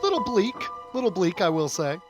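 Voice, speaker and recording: A man's voice: high voice, very animated, fairly quick, over music. A man co-hosting a podcast in casual, rambling chat, laughing often. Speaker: podcast co-host.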